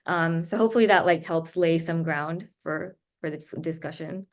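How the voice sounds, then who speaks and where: A woman from Japan speaking as a guest on a video call, explaining things in a thoughtful, measured way. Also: sits low. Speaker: guest speaker, writer and editor. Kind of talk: explanatory talk in an online interview.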